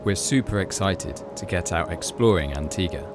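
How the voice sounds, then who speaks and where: A man in his thirties narrating his sailing vlog in a relaxed, matter-of-fact way. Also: deep voice, normal speed, over music. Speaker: sailing vlogger. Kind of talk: voice-over narration and casual chat on a boat.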